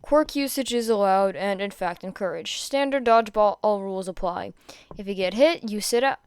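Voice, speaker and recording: A woman's voice: medium pitch, normal speed, smooth, no echo, clean studio sound, lightly acted. Woman voicing a weary, drawling male teacher. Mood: dry, matter-of-fact. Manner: drawling, deadpan instruction. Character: weary, droning, dry male combat-class teacher.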